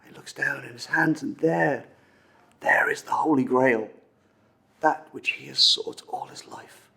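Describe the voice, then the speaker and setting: A man acting a dramatic monologue as an earnest, wistful storyteller recounting an old legend, with intense pauses and swells. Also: mid-range voice, vocal fry, pushed and bright. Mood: tender, rising wonder. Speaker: amateur actor performing a film monologue.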